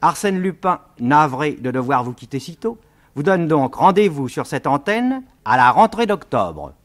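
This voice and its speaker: A man presenting a radio series in a courteous, formal, measured 1950s French broadcast manner. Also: on the high side, very animated.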